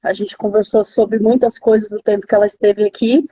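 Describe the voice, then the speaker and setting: Woman, São Paulo Brazilian accent, live-chat host, conversational, relaxed warm tone, dull lo-fi sound. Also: mid-range voice, a little fast, husky. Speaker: host of a live interview series. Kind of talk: casual live chat, interviewing a friend. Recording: slightly dull lo-fi live-stream audio.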